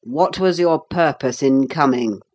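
A woman's voice: deep voice, measured pace, a little mellow, breathy edge. Woman doing a stern, brusque army captain. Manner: brusque, authoritative. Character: gruff, stern male army captain, condescending.

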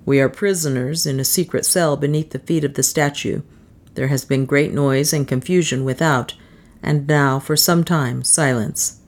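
A woman's voice: low-pitched, steady tone, normal speed. A woman reading an audiobook as a solemn, proud man with a grave, formal manner. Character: solemn, proud adventurer man, protective but stern. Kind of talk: dramatised audiobook dialogue.

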